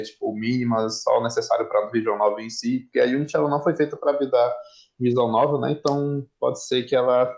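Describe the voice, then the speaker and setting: Guy, average pitch, pretty flat delivery, explaining stuff tentatively in a casual online chat. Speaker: participant in an online discussion. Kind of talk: casual technical discussion.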